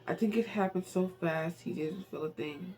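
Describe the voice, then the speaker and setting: A man reading the part of a grieving, gently reassuring widowed mother in a novel, with quiet restraint. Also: high-pitched, normal speed, natural voice. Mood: gentle, reassuring.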